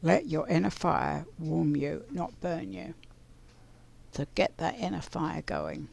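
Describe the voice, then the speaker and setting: Woman, scratchy voice, heavy vocal fry, slow pace, reflective spiritual card reader. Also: low voice, darkish tone. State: scratchy voice from pollen. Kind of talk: casual live oracle card reading.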